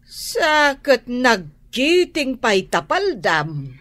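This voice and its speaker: A scolding village mother in a radio drama. She speaks slowly with an Ilocano Filipino accent, her exclamations swooping widely as she chides. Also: fairly high pitch, sing-song, a bit bright, dry recording, heavily acted. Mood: chiding. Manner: exclamatory, chiding. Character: scolding mother of a grown daughter, plain-spoken villager.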